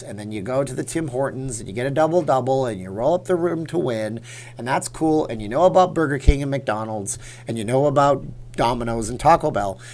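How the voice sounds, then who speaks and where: A man's voice: mid-range voice, a little mellow. A middle-aged man from Canada hosting a casual livestream, telling a rambling story and talking straight to his chat. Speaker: livestream host.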